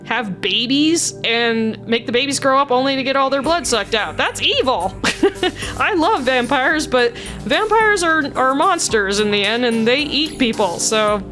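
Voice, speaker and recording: A chatty, blunt woman with a somewhat high voice, talking casually about her voice work and laughing often as she goes. Soft music runs faintly behind her. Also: lively intonation, measured pace. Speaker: voice mod creator. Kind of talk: casual commentary.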